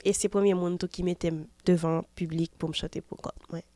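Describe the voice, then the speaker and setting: A woman's voice: lowish voice, level tone, fast talker, warm tone, dry recording. A woman in her twenties from Haiti with a Haitian French accent, a singer answering interview questions hesitantly, with many fillers. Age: 20s. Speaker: singer guest. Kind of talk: casual interview.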